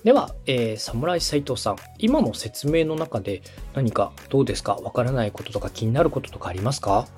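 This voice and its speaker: A man speaks at a medium pitch with animated intonation over faint music. He coaches in a structured, courteous way, laying out each point step by step like a presenter. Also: brisk, few pauses. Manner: polite, instructive, with step-by-step explanations. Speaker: podcast host and coach, a radio director. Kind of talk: structured explanatory coaching on podcast production.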